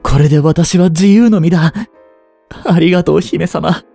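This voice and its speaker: A young man in his twenties playing a treacherous, smooth-tongued captive prince and spy in a Japanese audio drama, with courteous, theatrical speech that can turn mocking. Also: fairly high pitch, mellow, no echo. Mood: relieved, elated. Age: 20s. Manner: slow, theatrical, courteous speech slipping into taunts. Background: background music.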